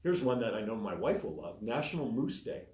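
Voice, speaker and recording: A man's voice: on the low side, fairly quick, echoey room. A man co-hosting a talk show, chatting casually and reading through a list of topics. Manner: lively, reads through a list. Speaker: podcast co-host. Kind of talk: casual chat. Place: small studio.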